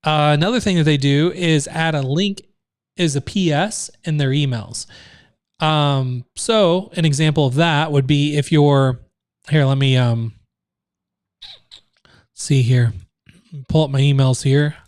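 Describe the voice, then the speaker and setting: Man, trainer, live screen-share walkthrough, slightly higher voice, dry close sound, casual, lots of ums. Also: unhurried, pauses often, a little mellow, clear, resonant tone, no echo. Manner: casual, loose, filler-heavy explaining. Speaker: online business trainer.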